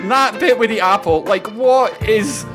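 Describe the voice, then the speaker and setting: A man's voice: high voice, unhurried. A man in his thirties reacting casually to a game trailer, flabbergasted and repeating his exclamations, on a close streaming mic. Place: small home streaming room. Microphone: close desk streaming microphone with headset. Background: game trailer music.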